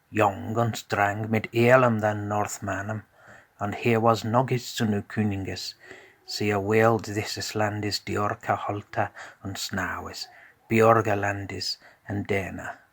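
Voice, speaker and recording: A man narrating a scripted tale as a storyteller in a measured, deliberate way. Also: fairly low pitch, level tone, a bit bright, no echo.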